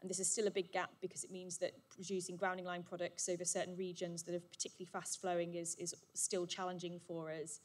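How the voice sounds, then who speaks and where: A woman's voice: on the low side, monotone. Upbeat woman presenting at a conference. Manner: brisk, upbeat, with frequent "um" fillers. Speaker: session chair presenting summaries. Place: conference hall. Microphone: handheld or podium microphone.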